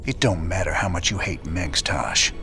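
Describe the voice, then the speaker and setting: An actor playing a wary, gruff rebel space commander in a video game, speaking in a laconic, folksy drawl. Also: male voice, low-pitched, expressive, moderate pace, sharp tone, faint music behind, heavily acted. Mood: stern. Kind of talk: video game cutscene acting.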